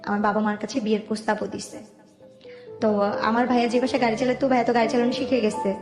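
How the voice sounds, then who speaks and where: A woman's voice: a little high, level tone, fast. A young adult Bangladeshi woman with a Dhaka accent, telling her own life story in colloquial, detailed conversation. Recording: roomy voice with music underneath. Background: background music.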